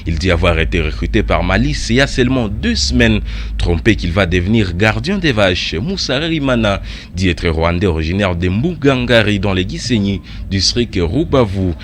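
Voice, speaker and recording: A male news anchor reading a radio news bulletin in a measured newsreader delivery. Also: on the low side, expressive, a little hiss or hum.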